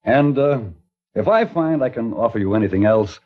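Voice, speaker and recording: An actor playing a thoughtful small-town minister who speaks in a measured, reflective way in a vintage radio drama. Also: male voice, medium pitch, lively intonation, a little hiss or hum, natural voice. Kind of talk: 1950s radio acting. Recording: dull lo-fi old radio broadcast.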